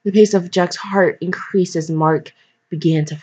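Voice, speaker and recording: Lowish female voice, casual story reading, chatty asides, stumbles, muffled lo-fi recording. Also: fairly even intonation, measured pace, darkish tone, breathy edge. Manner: self-interrupting, stumbling, jokey. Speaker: fan fiction reader. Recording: dull lo-fi microphone.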